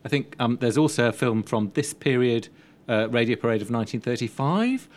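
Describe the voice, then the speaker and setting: A man's voice: mid-range voice, expressive, brisk. A man talking informally on a film panel in a discursive way, often saying "like" and "sort of", recorded live at an event. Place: live post-screening event before an audience. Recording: clearly noisy live room recording.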